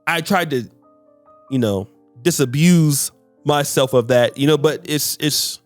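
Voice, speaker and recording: A man's voice: a little high, unhurried, no echo, soft background music. A man hosting a podcast discussion, often stumbling and repeating words as he searches for his phrasing. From United States. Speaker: podcast host.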